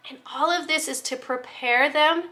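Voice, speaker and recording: Bright, high-voiced woman teacher explaining. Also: moderate pace. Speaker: teacher giving a lesson to other teachers. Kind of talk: instructional video talk.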